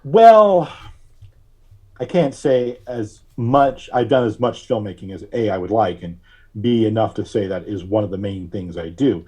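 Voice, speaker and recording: Male interview guest, husky, soft-edged mellow tone, conversational storyteller, explanatory, pausing delivery. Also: fairly low pitch, animated. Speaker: guest, filmmaker.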